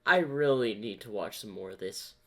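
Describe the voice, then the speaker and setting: A man hosting a reaction video, giving casual commentary and thinking aloud in a halting way. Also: lowish voice, animated.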